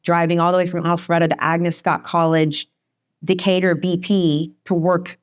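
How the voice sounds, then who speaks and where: Fast-talking woman, lower voice. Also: little pitch movement, clean tone. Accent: slight Northeastern American. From Sweden. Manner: fast, chatty, laughs often. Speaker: guest, divorce attorney. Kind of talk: candid podcast conversation.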